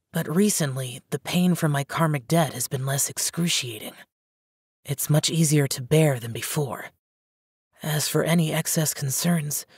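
Game role: aloof young male immortal, low-pitched and husky, terse and solemn. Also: a little mellow, no room sound, clean studio sound, full character voice. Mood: stoic. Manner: terse, reserved, solemn, formal phrasing. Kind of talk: English video game character dialogue.

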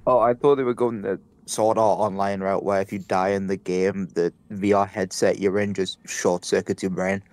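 A man's voice: sits low, level tone, normal speed, hardly any pauses, darkish tone. A young man with a stuffy nose, chatting casually and enthusiastically with friends while playing a game. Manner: enthusiastic, jumps between topics. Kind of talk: casual chat.

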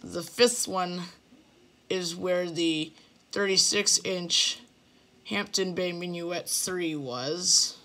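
Slow, halting young man. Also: high-pitched, steady tone, sharp tone. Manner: slow, hesitant, with fillers. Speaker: gamer showing a game build. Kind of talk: casual walkthrough narration. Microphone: phone filming a computer screen.

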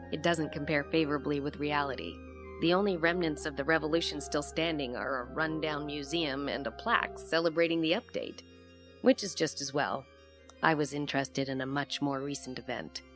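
An actress playing an inquisitive reporter, narrating an audio drama investigation in a composed, deliberate, formal manner. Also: female voice, low voice.

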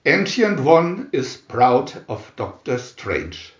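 A man's voice: mid-range voice, very animated, lo-fi sound. Male narrator for comic-book tale: theatrical, swooping delivery, echoey room. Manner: theatrical, measured. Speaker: narrator reading a picture booklet. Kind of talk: dramatic scripted storybook narration.

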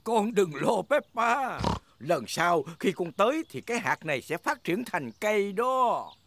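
A man's voice: high-pitched, very animated, unhurried, a bit bright, slight background noise, full character voice. A man voicing a jolly, patient old grandpa pig who explains kindly in a dubbed preschool cartoon. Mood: reassuring. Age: older character. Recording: music under the voice.

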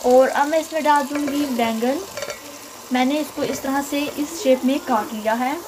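A woman's voice: high voice, moderate pace, very noisy. A woman hosting a cooking channel, explaining each step of a home recipe in a friendly, casual voice-over. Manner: friendly step-by-step explaining, mixing in English words. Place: home kitchen. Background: frying and stirring sounds.

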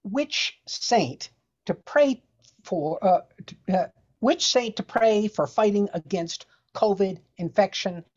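A woman's voice: medium pitch, expressive, takes it slowly, a little mellow. A woman on a panel reading aloud and making brief remarks. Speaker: panel reader. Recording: dull, lo-fi video call audio.

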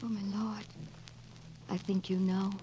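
A woman's voice: medium pitch, little pitch movement, unhurried, warm tone, a little hiss or hum, close to an everyday voice. An actress playing a spirited, devoted young noblewoman in love, in a 1940s radio drama. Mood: tender, dreamy. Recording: muffled, rough 1940s radio recording.

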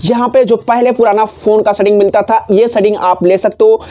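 Tech tutorial presenter: male, 20s, mellow tone, unscripted and repetitive step-by-step instruction. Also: high-pitched, fairly even intonation, brisk. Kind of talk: step-by-step phone tutorial, spoken off the cuff. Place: small decorated indoor room. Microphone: clip-on lapel mic.

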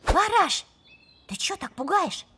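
A woman voicing a bossy, excitable young girl piglet in a children's cartoon, sassy and emphatic. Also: very high voice, takes it slowly, full character voice. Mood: surprised, eager. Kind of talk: animated children's cartoon dialogue. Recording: loud music behind the voice.

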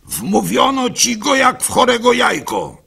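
A man's voice: extremely high pitch, huge pitch swings, unhurried, creaky, slightly rough, pitched above the performer's own voice, exaggerated voice. A man voicing a cynical, tempting devil in a radio story, blunt and scornful. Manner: forceful, scornful. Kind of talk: dramatised storytelling.

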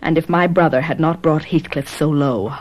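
An actress playing a headstrong, passionate young gentlewoman in a classic radio drama, earnest and theatrical. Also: low voice, moderate pace, poor audio. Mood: confiding.

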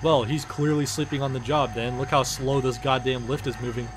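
An exasperated, sarcastic male space engineer played by a man in a comedic game parody. He is foul-mouthed and speaks at a middling pitch, with music clearly playing behind him. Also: fairly even intonation, brisk. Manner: sarcastic, profane ranting. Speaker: voice-over performer dubbing a game parody. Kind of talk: comedic scripted parody dialogue.

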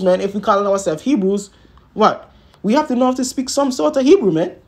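A man teaching scripture in an emphatic, informal way, peppering his talk with tag words and rhetorical questions. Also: a little high. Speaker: religious teacher.

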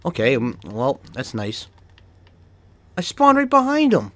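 A young man with a Boston accent talks through a shooter match, swearing and laughing as he goes. His pitch leaps all over the place when he gets caught off guard. The sound is dry and close, with no room around it. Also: a little slow, dry recording. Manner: excitable, swears and laughs often, yelps when startled. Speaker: gaming video creator. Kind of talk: live gameplay commentary.

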